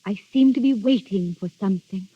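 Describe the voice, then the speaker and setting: A woman's voice: mid-range voice, brisk, slightly rough, lightly acted. An actress playing a dreamy young noblewoman in love, wistful and formal, in a 1930s radio drama. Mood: dreamy, expectant. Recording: muffled vintage 1930s radio recording, faint music.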